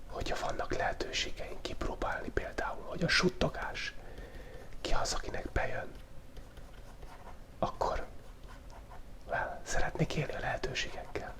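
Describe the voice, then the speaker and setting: A man hosting a relaxed ASMR drawing video, whispering in a loose, playful way and thinking aloud as he sketches, close to the microphone. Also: long pauses. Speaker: channel host. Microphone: close studio microphone picking up pen tablet.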